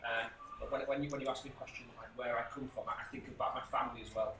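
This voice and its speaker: Man in his 30s, fast, repeats himself, roomy classroom, noisy. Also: mid-range voice, steady tone. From north of England. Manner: quick, repeats words as he thinks. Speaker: teacher. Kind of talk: casual chat. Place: classroom, indoors. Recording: echoey classroom from a distance, noise.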